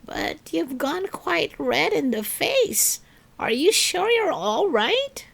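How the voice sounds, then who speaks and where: A woman voicing a calm, kindly older woman neighbour, gentle, soothing and encouraging, in a children's storybook. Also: sits high, takes it slowly, gravelly, pitched above the performer's own voice, full character voice. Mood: concerned. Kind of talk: children's storybook read-aloud.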